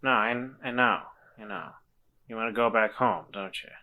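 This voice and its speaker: A man playing a worried, gentle friend who speaks haltingly and stammers as he tries to reassure. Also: mid-range voice, narrow, tinny audio, close to an everyday voice. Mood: worried, reassuring. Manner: halting, stammering, repeats words.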